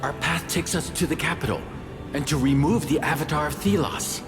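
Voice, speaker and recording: Man as a creaky, echoing scheming god. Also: fairly high pitch, brisk, lightly acted. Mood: eager, scheming. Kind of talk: fantasy game voice acting. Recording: long hall reverb under loud music.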